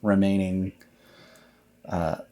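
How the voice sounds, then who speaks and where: A man narrating a tabletop game as storyteller, improvising in a halting, conversational way. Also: low-pitched, flat delivery, warm tone.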